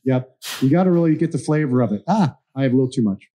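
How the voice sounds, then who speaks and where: Dark-voiced man presenting hot sauces. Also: medium pitch. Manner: brisk, informal, explains each sauce. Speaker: moderator. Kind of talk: hosting a hot sauce challenge.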